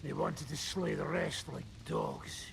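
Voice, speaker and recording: A blunt, wry, foul-mouthed medieval lord for a game drama. His voice is extremely creaky and gravelly, sitting a little high for a man, with slight noise behind it. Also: huge pitch swings, slow, exaggerated voice. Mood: grim, wry. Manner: blunt, sardonic. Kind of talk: dramatic video game dialogue.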